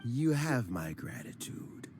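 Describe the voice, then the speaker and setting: Elderly anime game official, slow n formal, gentle weary, kinda expressive, music behind. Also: male voice, medium pitch. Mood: rueful. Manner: measured, formal, contemplative. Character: weary, courteous elderly male statesman, reflective and gentle.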